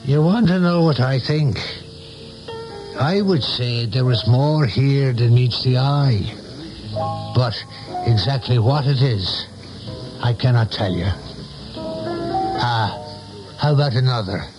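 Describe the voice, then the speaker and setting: A man's voice: mid-range voice, takes it slowly, pauses often, music in the background, natural voice, low-quality recording. An actor playing a musing, hospitable barman in a 1970s radio drama, speaking with deliberation. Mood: musing, confiding.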